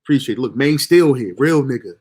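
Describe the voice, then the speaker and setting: Young dude on a livestream, loose slangy talk, swears a lot, middle pitch, hypes himself up. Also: male voice, expressive, fast, a little mellow, husky. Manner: loose, slangy, motivational self-talk with profanity. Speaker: livestream host. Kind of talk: casual livestream monologue.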